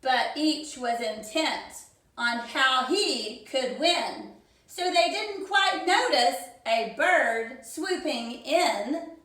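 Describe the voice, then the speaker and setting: A woman reading a rhyming children's story aloud with theatrical, deliberate phrasing. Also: high voice, lively intonation, a bit bright. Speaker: teacher reading a picture book to children. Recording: very echoey, reverberant room.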